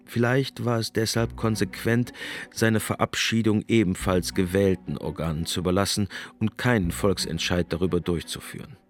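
A man narrating scripted documentary commentary in a measured, reflective way. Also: lowish voice. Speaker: narrator, essayist's reader.

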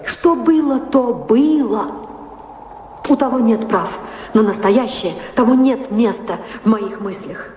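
An actress playing a proud, passionate lady of the house in a radio play, speaking in a measured, theatrical way with pointed questions. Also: a little high, mellow, slight background noise. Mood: earnest, impassioned. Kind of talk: radio play stage acting. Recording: echoey hall reverb, music behind.

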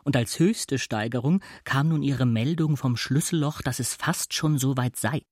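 A man's voice: medium pitch, normal speed, darkish tone, no echo, clean studio sound. A man telling a literary story as a narrator in a scripted radio play.

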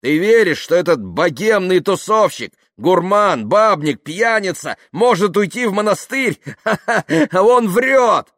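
A male narrator acts a blustering, self-important businessman in an audiobook. He is patronizing and suspicious, quick to swing from condescension to outrage, with a very wide pitch range and a dry studio sound. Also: sing-song, no echo, exaggerated voice. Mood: furious accusation. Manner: smooth condescension breaking into outraged yelling.

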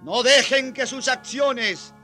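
Earnest high-voiced colonial priest. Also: male voice, slow, bright, forceful tone, soft background music, exaggerated voice. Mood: solemn, impassioned. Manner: earnest, preaching, solemn. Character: earnest colonial priest and landowner turned impassioned defender of natives. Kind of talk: radio drama acting.

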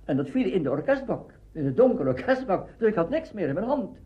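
An older man telling anecdotes as an interviewee, animated and conversational. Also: high voice, brisk. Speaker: interviewee, veteran radio actor. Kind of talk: anecdotal reminiscence. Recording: dull archive interview recording.

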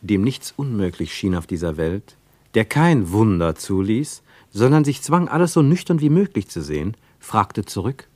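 A man narrating a radio play in a literary, measured storytelling manner. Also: fairly low pitch, big pitch swings, darkish tone, no room sound.

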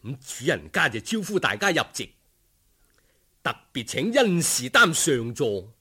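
A man with a Cantonese accent narrating a folk tale as a dramatic, expressive storyteller who savours each description. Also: medium pitch, measured pace, breathy edge.

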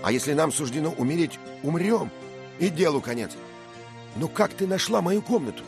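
A man's voice: fairly high pitch, animated, normal speed, gravelly, over music, pitched above the performer's own voice. A man voicing an earnest, brave young squire who speaks with passion in an audiobook. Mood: pleading. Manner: impassioned, emphatic.

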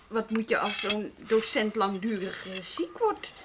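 A woman's voice: medium pitch, lively intonation, unhurried, natural voice. An actress in a naturalistic radio play, playing a concerned, critical woman talking over work at home. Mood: indignant. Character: concerned, critical woman discussing music school affairs at home. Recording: radio play with clear background noise.

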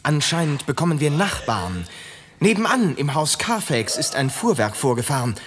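An actor in a German radio drama playing a deferential asylum attendant who reports respectfully and a little hesitantly. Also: male voice, a little high, very animated, normal speed. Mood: hesitant, dutiful. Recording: clear background noise with clicks.

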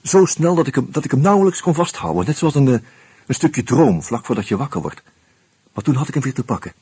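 An actor playing a reflective, wry man who tells his story in a radio play, in a musing, halting, conversational way. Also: mid-range voice, animated, dark tone, husky, no echo. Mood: hesitant, searching. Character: reflective male friend telling his story, wry, hesitant. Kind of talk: intimate first-person radio drama narration and dialogue.

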